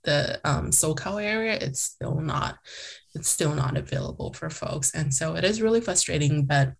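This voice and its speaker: Low husky young woman, chatty. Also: moderate pace. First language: Mandarin. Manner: chatty, many restarts and "like" fillers. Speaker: podcast guest, former mayor. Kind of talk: casual interview conversation.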